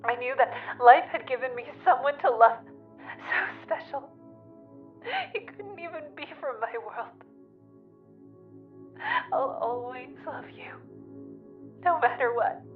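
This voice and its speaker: A woman's voice: fairly high pitch, slow, long pauses. A woman playing a frightened, loving young woman, crying and gasping through a desperate message over a speaker in an audiobook. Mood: tearful farewell. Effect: played over computer speaker. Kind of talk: dramatic audiobook character acting. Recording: dull muffled speaker sound, faint music.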